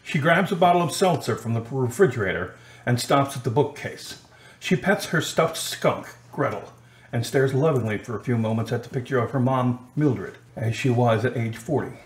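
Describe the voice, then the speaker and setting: A man's voice: mid-range voice, animated, moderate pace. A man giving scripted radio drama narration in an echoing space. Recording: roomy, echoing recording.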